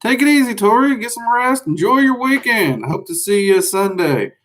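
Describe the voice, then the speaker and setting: A man's voice: high-pitched, expressive, normal speed, a bit bright. Folksy Southern man rambling on a livestream. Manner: rambling and folksy, frequent fillers. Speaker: livestream host teaching magic. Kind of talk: casual livestream chat, answering viewers.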